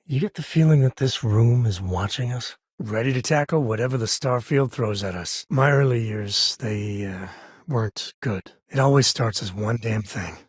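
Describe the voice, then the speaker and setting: A man voicing a rugged, wry, warm-hearted space ranger in a video game, with a folksy, conversational way of talking. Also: medium pitch, normal speed, slightly rough, no room sound, studio quality, lightly acted. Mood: earnest. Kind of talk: video game character dialogue.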